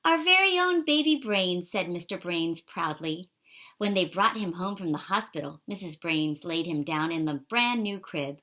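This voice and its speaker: A woman reading a children's picture book aloud in an expressive, animated way for young listeners. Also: fairly low pitch, normal speed. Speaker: storybook reader.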